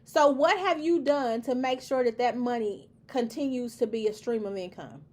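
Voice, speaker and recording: Emphatic woman lecturing, high voice. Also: moderate pace, a little mellow. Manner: emphatic, repetitive, preachy lecturing. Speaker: commentary host. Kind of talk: opinion monologue to camera.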